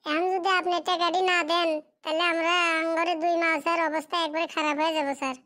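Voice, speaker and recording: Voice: extremely high pitch, flat delivery, fast talker, bright, forceful tone. A performer voicing a poor, fatherless schoolboy in a cartoon drama, pleading and plaintive in a rural Bengali dialect.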